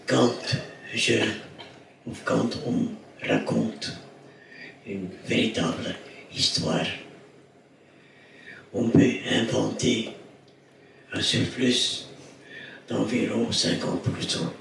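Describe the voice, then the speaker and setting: An older man tells family stories on a theatre stage, his voice raspy and half-whispered since throat surgery. He speaks very slowly, pausing to find his words, and his voice is low and gravelly. The sound comes from a distant mic in a roomy, echoing hall. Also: fairly even intonation. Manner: slow, halting, searches for words. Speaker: circus director, interviewee. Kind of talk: storytelling on stage. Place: theatre stage before an audience. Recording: echoey hall, distant mic, faint noise.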